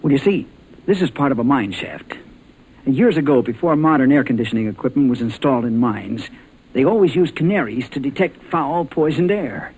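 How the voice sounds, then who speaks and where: A man playing a level-headed, resourceful American adventure hero, instructing briskly in 1940s radio serial style. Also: medium pitch, lively intonation, a little mellow, husky. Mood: calm, explanatory. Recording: noisy old radio drama recording.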